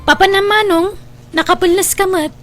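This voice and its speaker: A woman's voice: very high-pitched, fairly even intonation, moderate pace, music in the background. A woman playing an outspoken, emotional young woman with an Ilocano Filipino accent in a radio drama. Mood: everyday, concerned.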